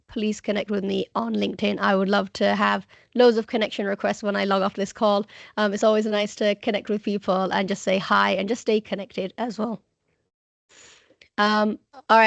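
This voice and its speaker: A woman teaching the Punjabi language who talks in a chatty, enthusiastic way, with a croaky voice today, speaking on a video call. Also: medium pitch, no echo. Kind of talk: casual presentation on a video call. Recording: dull, lo-fi video call audio.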